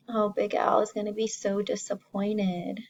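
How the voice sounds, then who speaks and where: A woman teacher reading a story to young children, expressively, pausing to explain simply and ask the listeners questions. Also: medium pitch, little pitch movement, creaky, a little mellow, muffled recording.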